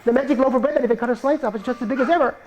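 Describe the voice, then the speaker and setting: A man's voice: extremely high pitch, rapid-fire, a little mellow, highs cut off, voice pushed up. A man voicing an angry fairy-tale queen in a puppet show, indignantly listing her stolen treasures.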